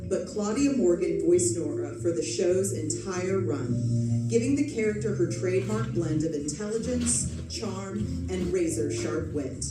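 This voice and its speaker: A woman's voice: lowish voice, animated, a little slow. A woman hosting a radio players' show, giving a polished, formal scripted introduction. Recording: roomy, distant mic, loud music behind. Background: loud music.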